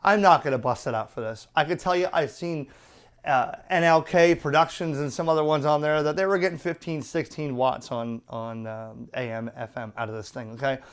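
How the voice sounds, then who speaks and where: A man's voice: fairly high pitch, moderate pace, smooth. A man presenting a casual, unscripted hands-on product review, talking bluntly and colloquially as he explains things step by step.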